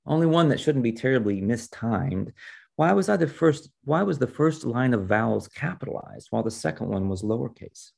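A man's voice: mid-range voice, expressive, normal speed, vocal fry, a little mellow. A man reading a storybook in the part of a curious, eager young female student, inquisitive and informal.

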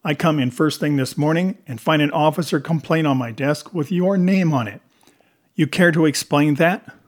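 A man's voice: on the high side, fairly even intonation, a little fast, close to an everyday voice. A man voicing a hot-tempered, blustering police lieutenant who barks and sputters in an audiobook. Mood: angry, accusing. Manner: barking, sputtering when flustered.

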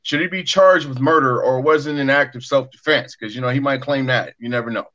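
A man's voice: mid-range voice, fairly quick, bright, forceful tone. A man hosting a casual discussion show, in slightly lo-fi audio. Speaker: podcast host. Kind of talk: casual discussion of current events.

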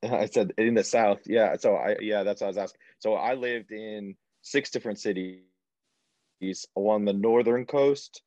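A man co-hosting a podcast, chatting casually in a halting way with many pauses and fillers. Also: on the low side, darkish tone, no room sound. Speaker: podcast co-host. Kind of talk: casual interview chat. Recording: dull lo-fi audio.

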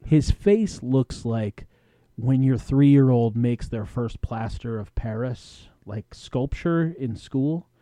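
Man with a very mellow, dark voice. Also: mid-range voice, expressive, slow pace, no room sound. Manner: slangy, playful storytelling. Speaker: podcast co-host. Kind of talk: casual episode recap with acted-out dialogue.

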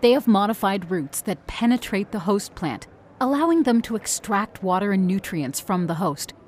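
A woman playing a firm, pressuring high school biology teacher in an audio drama, with a didactic manner. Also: animated, normal speed, a little hiss or hum, close to an everyday voice. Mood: lecturing.

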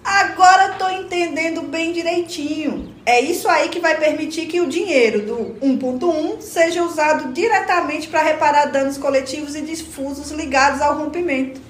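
A woman's voice: high voice, moderate pace, few pauses, faint music behind, lightly acted. A Brazilian woman playing a curious, doubting community member who asks questions colloquially in a radio drama. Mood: understanding. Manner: colloquial, inquisitive. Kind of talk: scripted educational radio drama dialogue. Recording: echoey room, faint noise.

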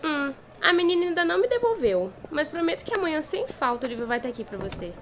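A reassuring, chatty Brazilian girl, played by a woman in a radio drama. She talks fast in a high, swooping voice, with slight noise behind. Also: sing-song, fairly bright tone. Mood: apologetic, reassuring.

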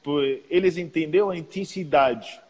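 A man's voice: a little high, normal speed, darkish tone. American guy chatting, slangy, english is his first language, really reverby sound. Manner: slangy, repeats filler tags. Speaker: podcast interviewer, basketball player. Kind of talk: casual chat. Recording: long reverb, roomy sound.

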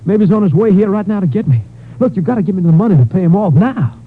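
An actor in an old radio drama playing a weak, desperate young stepson who whines and pleads anxiously. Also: male voice, high voice, lively intonation, fast talker, breathy edge. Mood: desperate pleading. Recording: noisy, muffled old radio recording.

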